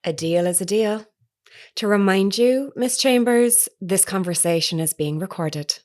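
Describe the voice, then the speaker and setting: An actress playing an earnest, persistent woman reporter in an audio drama, formal, measured and insistent in a naturalistic style. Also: mid-range voice, darkish tone, lightly acted. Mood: polite, businesslike.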